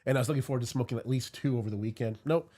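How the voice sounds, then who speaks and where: A man's voice: medium pitch, racing, mellow. A congested man hosting a casual cigar review stream, chatty and rambling. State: congested from a cold. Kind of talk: casual livestream chat.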